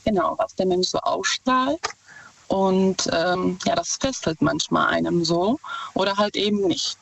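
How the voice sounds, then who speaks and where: Czech-accented woman calling in, nervous, lots of ums, gravelly voice, dull faraway phone sound. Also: fairly low pitch, unhurried, slight background noise. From Czech Republic. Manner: nervous, hesitant, many fillers. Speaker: caller. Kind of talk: phone call-in to radio. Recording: dull distant phone line.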